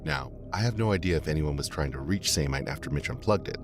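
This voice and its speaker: A man playing a brooding investigator who narrates a dark horror podcast in a measured, deliberate, confiding way. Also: a bass voice, lively intonation, background noise. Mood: measured, intrigued. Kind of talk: scripted first-person horror podcast narration.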